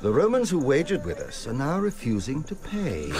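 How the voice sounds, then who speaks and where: An actor playing a loyal, calming aide who brings news in a radio drama. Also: male voice, medium pitch, normal speed. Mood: reporting, calming.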